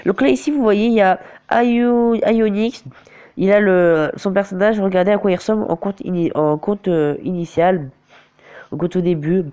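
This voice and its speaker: A man's voice: high voice, fairly even intonation, moderate pace, dark tone, smooth. A man with a cold and a sore throat, giving casual gameplay commentary on a phone recording, often stumbling and correcting himself. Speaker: mobile game commentator. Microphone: phone screen recorder.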